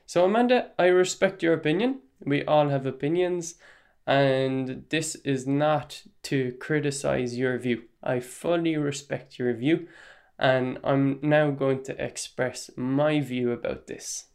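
Irish man, podcast host, unhurried delivery, measured, explanatory tone. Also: mid-range voice, gravelly. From Ireland. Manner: measured, explanatory, even-tempered. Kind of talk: solo podcast monologue.

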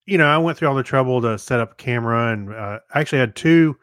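A man's voice: medium pitch, quick pace, fairly bright tone, smooth, dry recording. Informal American man, dry studio-close voice. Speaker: podcast co-host. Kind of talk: casual podcast chat.

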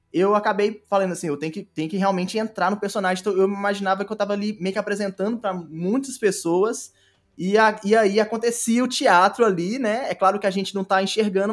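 A man with a Brazilian accent in a casual interview as a guest, rambling and repeating words as he explains. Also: high voice. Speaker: guest, audio drama creator and narrator.

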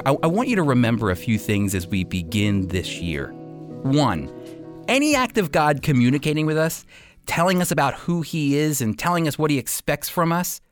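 A man teaching and commenting as a radio preacher and host, speaking emphatically and deliberately with weighty pauses. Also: medium pitch, no echo, clean studio sound.

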